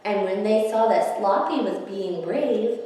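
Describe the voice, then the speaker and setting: A woman's voice: medium pitch, expressive, unhurried, mellow. A woman hosting story time, narrating and explaining things simply for young children. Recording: echoey hall, distant microphone.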